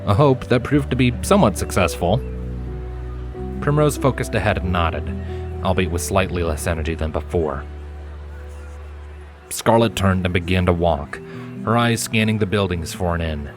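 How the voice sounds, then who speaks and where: A man with a low-pitched voice narrates a story in audiobook style. Soft music plays behind his scripted reading. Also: normal speed, long pauses. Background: background music.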